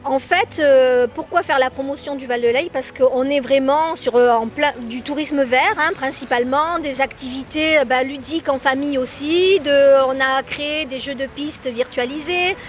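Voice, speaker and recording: A woman giving spontaneous interview answers as a tourist office representative, phrasing haltingly. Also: sits high. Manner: hesitant, halting phrasing with repeated tags.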